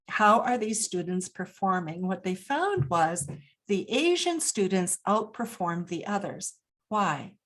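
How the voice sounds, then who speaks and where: A woman from Japan speaking as an expert guest, explaining points deliberately and emphatically, posing questions and answering them. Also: mid-range voice. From Japan, grew up there. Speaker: interview guest, business expert. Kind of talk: explanatory interview talk.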